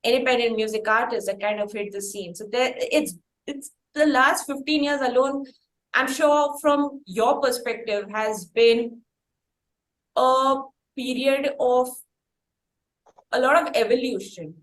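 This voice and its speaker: A woman's voice: on the high side, unhurried, roomy sound, poor audio. A woman with an Indian English accent hosting a conversational interview, talking in long, wordy, circling questions. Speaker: interviewer, podcast host.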